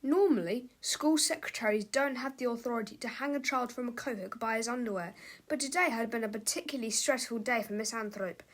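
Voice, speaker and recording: A woman's voice: fairly high pitch, fairly quick. A woman reading a children's storybook aloud as narrator, in a dramatic, theatrical manner.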